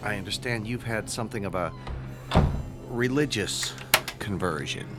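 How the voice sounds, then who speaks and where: A man playing a nervous, ingratiating school guidance counselor in his twenties in an audio drama, speaking haltingly. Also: on the low side, over loud music, natural voice. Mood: nervous probing. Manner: hesitant, ingratiating, halting with ums.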